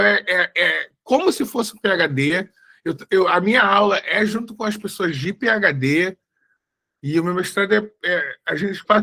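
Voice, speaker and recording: A man's voice: high-pitched, vocal fry, bright, forceful tone. A man in his forties from Brazil, giving a casual, chatty talk as a guest researcher over a video call, often restarting his sentences. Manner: chatty, self-correcting, many fillers. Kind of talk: casual live talk before a slide presentation.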